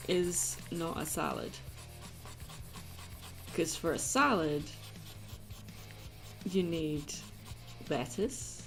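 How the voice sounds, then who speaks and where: A woman's voice: sits low, gravelly. A woman hosting a casual livestream chat, answering silly questions in a playfully argumentative way. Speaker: livestream host. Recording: music behind the voice. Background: music.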